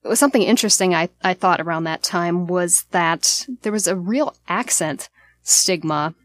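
A woman's voice: on the low side, normal speed, no echo. A woman leaving a conversational voice message for a podcast, sharing reflective personal commentary in an earnest way.

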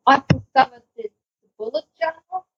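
A woman's voice: high-pitched, animated, normal speed, vocal fry, no echo. A woman talking casually as a co-host on a podcast. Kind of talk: casual chat. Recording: dull lo-fi, close dry sound.

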